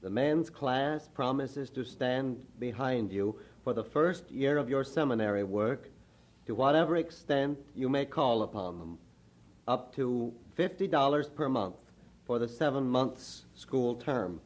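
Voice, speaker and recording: An actor playing a modest, sincere Bible class gentleman in a radio drama, speaking formally and respectfully in a measured manner. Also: mid-range voice, animated. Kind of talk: mid-century radio drama acting.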